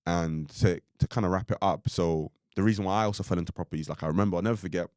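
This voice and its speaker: A young man from Ireland with a very low, deep voice, chatting loosely on a podcast. He rambles, leaning on fillers like "like" and "you know what I mean". The sound is close and dry, with no room around it. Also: very fast, darkish tone, clean tone, no echo. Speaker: podcast co-host. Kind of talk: casual podcast chat.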